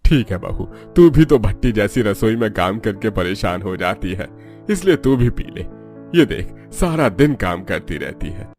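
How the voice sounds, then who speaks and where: Low-voiced, weary older village father. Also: big pitch swings, unhurried, darkish tone, faint music behind. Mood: appreciative. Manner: fatherly, homely. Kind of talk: Hindi cartoon story dubbing.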